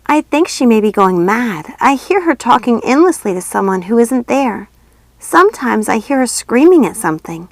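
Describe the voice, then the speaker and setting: A woman's voice: fairly high pitch, big pitch swings, moderate pace, dry recording, pitched above the performer's own voice. A woman voicing a frightened, sorrowful little princess girl with a pleading, tearful manner in a storybook reading. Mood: sad, worried.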